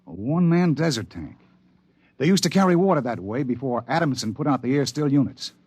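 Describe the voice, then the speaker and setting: A man's voice: medium pitch, lively intonation, brisk, a little mellow, breathy edge. An actor playing a blunt, bigoted middle-aged homesteader father on Mars in an old-time radio drama, with folksy colloquial speech. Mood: wary. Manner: blunt, dismissive. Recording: vintage radio drama broadcast.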